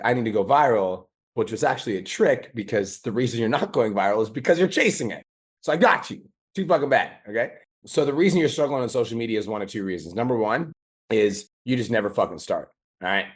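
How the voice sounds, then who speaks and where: A raspy, hoarse man hosting a podcast, giving a blunt, profane motivational monologue and mocking excuses in quoted voices. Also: mid-range voice, lively intonation, fairly quick. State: hoarse from days of talking. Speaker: podcast host and business coach.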